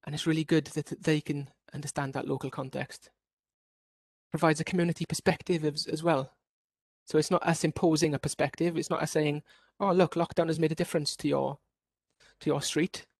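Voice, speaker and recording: A man's voice: on the high side, fairly even intonation, brisk, a little mellow, no room sound. A man from Wales giving an online workshop presentation as a researcher, explaining steadily with occasional restarts over a video call.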